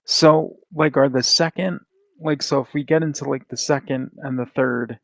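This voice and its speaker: A man co-hosting a podcast, chatting informally about films and putting his questions in a halting way. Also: mid-range voice, steady tone, vocal fry, fairly bright tone, dry recording, rough recording. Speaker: podcast co-host. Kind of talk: casual film chat.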